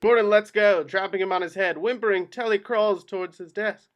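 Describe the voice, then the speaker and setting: A man's voice: high-pitched, fairly even intonation, brisk, highs cut off. A man reading screenplay action descriptions as scripted narration at a table read. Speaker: reader of stage directions.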